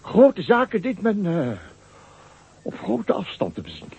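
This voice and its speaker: An actor playing a pompous, anxious small-town mayor in a radio play, who speaks theatrically with a raspy voice. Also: male voice, high voice, sing-song, measured pace, darkish tone, slight background noise, full character voice. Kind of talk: radio drama acting.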